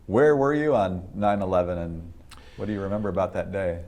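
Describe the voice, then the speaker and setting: Low-voiced male interviewer. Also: brisk, a little mellow. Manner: brief affirmations before each question. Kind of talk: interview questions.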